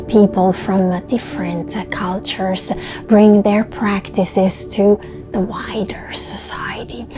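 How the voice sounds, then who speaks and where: A woman hosting her channel, giving an earnest voice-over narration with soft, trailing ends to her phrases. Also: mid-range voice. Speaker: channel host. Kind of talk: voice-over narration of a video essay. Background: music under the voice.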